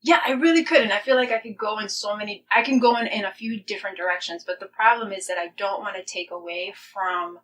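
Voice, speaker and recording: A woman's voice: mid-range voice, fast, few pauses, a bit bright, far from the mic, a little hiss or hum. A woman talking earnestly and reflectively as a guest in a podcast interview, stressing points by repeating them. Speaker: playwright guest.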